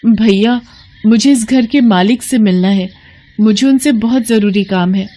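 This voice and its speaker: A woman plays a poor blind mother from an animated moral tale. She speaks with humble, pleading sincerity and open emotion, pitched a little above plain narration. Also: unhurried, lightly acted. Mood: pleading, earnest. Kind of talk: Hindi animated moral story acting.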